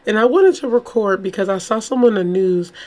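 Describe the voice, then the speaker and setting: Slightly hoarse, informal woman vlogger. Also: medium pitch, brisk, warm tone. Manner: informal, rambling, exclamatory. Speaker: YouTube true crime host. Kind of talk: casual chatty commentary to camera.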